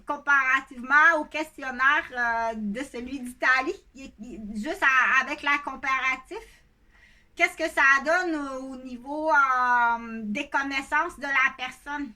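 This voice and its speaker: Bright high-voiced Québécois woman in her fifties. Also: measured pace. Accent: Québécois French. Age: 50s. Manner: hesitant and rambling, with frequent filler sounds. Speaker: video blogger. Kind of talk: casual talk to camera.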